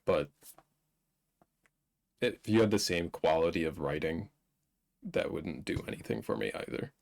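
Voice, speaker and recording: A man's voice: low-pitched, unhurried, gravelly, a little mellow. A man co-hosting a live-streamed movie discussion in a casual conversational style. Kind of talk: casual chat, film commentary.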